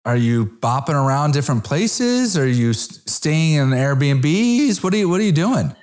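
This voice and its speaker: A man's voice: a little high, very animated, a little slow, a little mellow. A man in his fifties hosting a casual interview as a podcast host.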